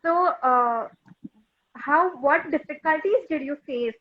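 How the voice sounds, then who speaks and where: A woman interviewer asking soft, hesitant follow-up questions. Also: high voice, takes it slowly, slight background noise. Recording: quiet, distant, dull lo-fi.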